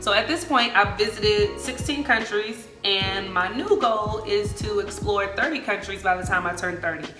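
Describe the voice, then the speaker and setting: A woman's voice: mid-range voice, normal speed, few pauses. An American woman in her late twenties chatting casually and expressively to viewers as a travel vlogger, in colloquial language. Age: late 20s. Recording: echoey room, music underneath.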